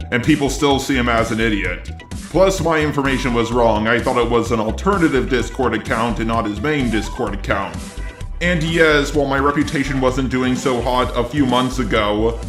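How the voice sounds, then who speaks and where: A synthetic male robot voice delivers scripted commentary as a video host. It sits at a medium pitch with a noticeable echo, and music plays clearly behind it. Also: a bit bright. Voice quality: synthetic robot text-to-speech voice. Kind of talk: scripted narration, rebuttal commentary. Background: background music.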